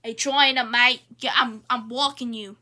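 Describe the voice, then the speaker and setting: A woman's voice: fairly high pitch, fairly even intonation, normal speed, sharp tone. A woman voicing Australia as a cheerful, knife-happy Aussie bloke in an improvised comedy skit, brash and matey with an Australian accent. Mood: menacing, cheerful. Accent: Australian, put on. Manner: brash, matey, repetitive slangy phrasing.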